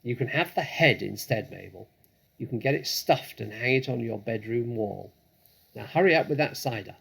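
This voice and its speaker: A man voicing a bossy, impatient mistress of the house in a storybook, calling out loudly and snappishly. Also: medium pitch, expressive, normal speed, gravelly, slightly rough, heavily acted.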